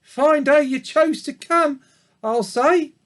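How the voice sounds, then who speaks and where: A man's voice: very high voice, slow pace, a bit bright, pitched above the performer's own voice, full character voice. A man voicing an old, motherly country sheep in a storybook, puffing and speaking in a folksy rural way. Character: old motherly country ewe, fretful and kind.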